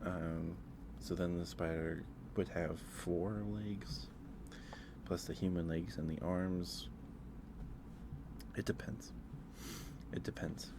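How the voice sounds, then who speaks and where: A man's voice: low-pitched, flat delivery, slow, gravelly, long pauses, noisy background. A man hosting a casual ASMR livestream, talking in a rambling, hesitant way and often repeating his phrases. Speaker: livestream host.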